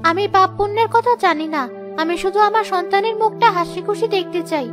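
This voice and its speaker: An anxious young village wife and mother in a cartoon tale, played by a woman. Her voice is extremely high and pleading. Music is clearly heard behind her. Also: normal speed. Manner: fretful, pleading. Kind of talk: Bengali cartoon moral-tale acting.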